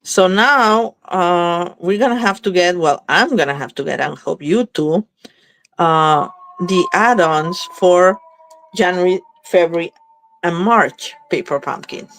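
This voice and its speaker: A woman's voice: fairly low pitch, measured pace, a bit bright, dry recording, poor audio. A slightly congested woman chatting casually as she demonstrates card making, thinking aloud while she works. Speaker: craft demonstrator. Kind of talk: live crafting demo chat.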